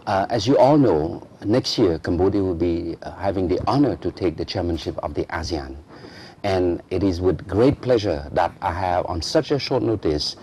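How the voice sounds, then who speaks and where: A low-pitched man hosts a formal television interview. He speaks haltingly, filling his questions with hesitations and quick yeses, over faint background ambience. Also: expressive, dark tone, slight background noise. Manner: hesitant, many fillers, frequent affirming yeses. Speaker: television talk show host.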